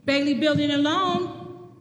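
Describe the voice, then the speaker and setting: A woman's voice: high voice, fairly even intonation, measured pace. A woman playing a gossipy, wry, fretful office receptionist in a live radio play. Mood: gossipy, uneasy. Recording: echoey reverberant hall, faint noise.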